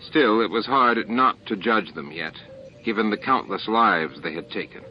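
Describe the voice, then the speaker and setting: A man's voice: lowish voice, moderate pace, a little hiss or hum. A man reading a novel aloud as a scripted audiobook narration, on a dull lo-fi recording with music behind him. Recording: dull, lo-fi cassette-like sound. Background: background music.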